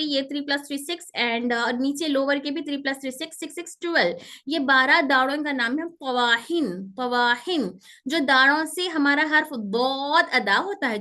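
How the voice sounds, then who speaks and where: A woman with a high, bright voice teaches an online pronunciation class. She walks through each point step by step and repeats the key sounds slowly and carefully. She is heard through a computer mic on a call. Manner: explains step by step, repeats terms and letters slowly. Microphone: computer mic on a video call.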